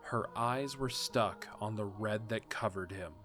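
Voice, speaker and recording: Low-voiced man narrating over music. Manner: steady, measured storytelling. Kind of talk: scripted narration of a fan audio drama.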